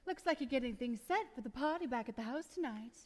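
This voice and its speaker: A woman's voice: high voice, animated, fast talker, a little mellow. An actress playing a restless, flirtatious small-town woman in a 1940s-style radio play, theatrical in delivery. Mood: confiding. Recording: live stage taping in echoey hall.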